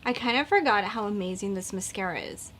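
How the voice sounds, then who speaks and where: A woman's voice: medium pitch, lively intonation, fairly quick, fairly bright tone, slight background noise. A woman in her thirties chatting casually while doing her makeup, rambling with lots of likes and fillers. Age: 30s. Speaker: beauty vlogger.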